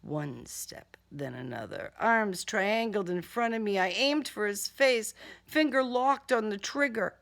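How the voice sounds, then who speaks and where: A woman author reading her own first-person thriller dramatically, with suspense and short, deliberate phrases. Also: mid-range voice, lively intonation, vocal fry, fairly bright tone.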